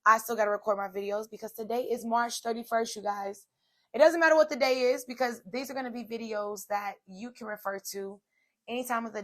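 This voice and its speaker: A woman hosting a solo inspirational talk, her voice slightly hoarse, chatty and direct, addressing viewers as "y'all.". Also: medium pitch. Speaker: podcast host. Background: soft music playing.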